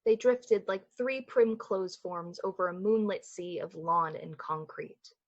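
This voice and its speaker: A woman with a breathy voice delivering scripted, dramatic narration for a suspense radio play. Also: fairly low pitch, unhurried, lo-fi sound. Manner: dramatic, literary storytelling.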